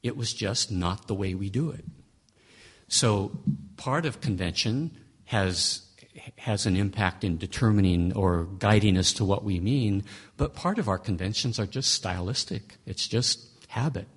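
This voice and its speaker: A man with a fairly low, husky voice teaches a class on interpreting scripture. He talks in a conversational but emphatic way, pressing his points with rhetorical questions and often restarting a phrase mid-thought. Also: measured pace. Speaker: Bible teacher.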